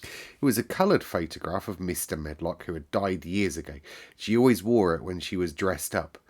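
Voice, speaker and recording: A man reading a classic novel aloud in calm, measured narration. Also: sits low. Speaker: storyteller.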